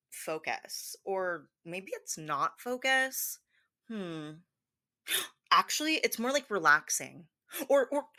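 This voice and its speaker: A woman acts out a ditzy, bubbly hypnosis teacher with a bright voice. She speaks slowly and hesitantly, her pitch swinging widely as she loses her thread in a soft, girlish, giggly roleplay. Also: heavily acted. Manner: scattered, hesitant, girlish filler-laden talk with gasps and giggles. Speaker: hypnosis performer playing a teacher.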